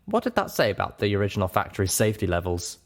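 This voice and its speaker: A man's voice: lowish voice, big pitch swings, quick pace. A man reading scripted first-person fiction aloud as an audiobook narrator.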